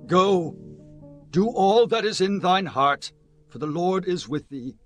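Encouraging prophet, high voice, very slow, declamatory, Bible audio drama. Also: male voice, animated, soft background music. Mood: assuring. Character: encouraging prophet advising a king.

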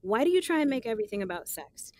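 A woman's voice: fairly high pitch, very animated, quick pace, a little mellow, dry recording. An actress playing a guarded but smitten young woman, chatting in sassy, slangy style with her best friend in an audio drama. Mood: defensive. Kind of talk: casual conversational audio drama.

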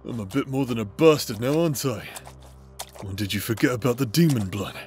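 A man's voice: medium pitch, a little fast, darkish tone, faint music behind, natural voice. A man acting a cursed warrior in a fantasy audio drama, solemn and wry, sworn to resist a demon. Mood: wry, defiant.

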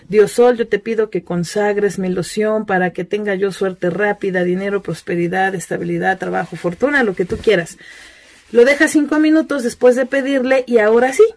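A Mexican woman chats her way through a spell tutorial, rattling off lists of promises. Her voice sits at an ordinary middle pitch, recorded close in a small room. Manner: chatty, list-like, selling her services. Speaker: self-styled witch giving spell tutorials. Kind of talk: casual spoken tutorial and self-promotion. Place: indoors at a cluttered altar table.

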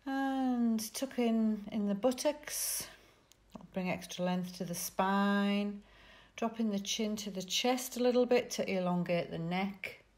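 A woman teaching yoga nidra, giving soothing, unhurried guided relaxation instructions with pauses between phrases. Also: mid-range voice, a little mellow.

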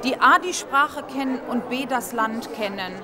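A woman's voice: high-pitched, unhurried, crowd noise. A middle-aged woman earnestly explaining her view in an interview in a busy hall. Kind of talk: interview statement. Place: large hall, people passing.

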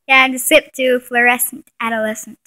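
A woman's voice: a little high, level tone, normal speed, pushed and bright. A young woman chatting casually and playfully while filming a home video on her phone. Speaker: person filming and commenting. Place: living room at home, indoors. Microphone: phone held by the speaker.

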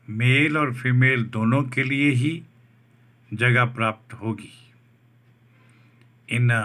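A man reads out a job advertisement over a document, slowly restating each English term in Hindi. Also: mid-range voice, pushed and bright. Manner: slow, deliberate, restates each point in Hindi after English terms. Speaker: job vacancy channel host.

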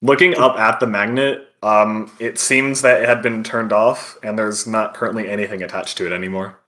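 Guy running a tabletop game, bright voice, improvised narration, hesitant and casual. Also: on the low side, fairly even intonation, normal speed. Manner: hesitant, searching descriptions in casual wording. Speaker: game master.

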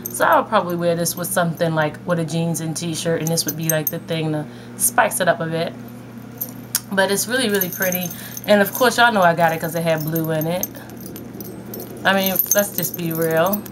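A woman's voice: lowish voice, moderate pace, noisy background. A young woman chatting casually and upbeat to viewers about her shopping haul, recorded on a webcam in her bedroom. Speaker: haul vlogger. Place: bedroom, indoors. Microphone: webcam at desk distance.

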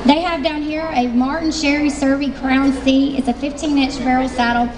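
High-voiced Southern woman emceeing a show. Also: steady tone, moderate pace, background noise. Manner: chatty, folksy sales patter with fillers. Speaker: fashion show emcee and booth owner. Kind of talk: announcing a live fashion show. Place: large expo hall stage. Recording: distant voice over loud music in a hall.

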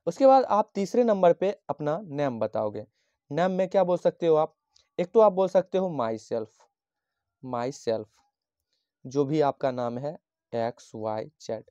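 A man from Bihar teaching a lesson, explaining slowly and patiently and repeating each phrase as he dictates sample lines. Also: fairly high pitch, very animated, warm tone, no room sound. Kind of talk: handwritten English lesson explained in Hindi. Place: at a desk, writing on a notebook page. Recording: dull, lo-fi dry sound.

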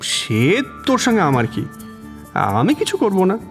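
A man plays a whimsical, lovestruck college boy in a romantic audio drama, speaking Kolkata Bengali. His delivery is playful and very animated, in a long reverb over music. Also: sits high, unhurried, full character voice. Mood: petulant. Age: young adult. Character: cheeky, dreamy, lovestruck young male student.

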